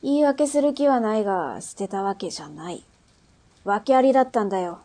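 An actress playing a tough, brusque female underworld boss and mother in a radio drama, speaking in a rough, mannish, commanding way. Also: mid-range voice, expressive, a little fast, mellow, natural voice. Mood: guarded, regretful. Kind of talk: Japanese radio drama acting.

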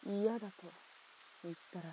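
An actress playing a guarded, wounded woman of old Japan, speaking formally and softly in a radio drama. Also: mid-range voice, fairly even intonation, moderate pace, slightly rough, natural voice, poor audio. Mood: wary. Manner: hushed, formal, wary. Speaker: actor in amateur radio drama. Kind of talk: restrained period radio drama acting. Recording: hiss and rain-like background noise.

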